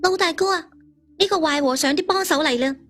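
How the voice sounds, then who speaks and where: A woman's voice: extremely high pitch, a little fast, fairly bright tone, soft background music, pitched above the performer's own voice. A woman voicing an anxious, blurting young character in a Cantonese audio story. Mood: scared.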